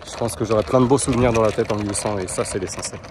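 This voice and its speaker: A man's voice: lowish voice, fairly even intonation, fast talker. A man, a coach and nutritionist, vlogging casually to his viewers while riding a kick scooter through city parks and streets, talking straight to camera with plenty of fillers. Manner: chatty, addresses viewers directly, fillers and asides. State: strained from exertion. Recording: noisy outdoor street sound. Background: outdoor city ambience.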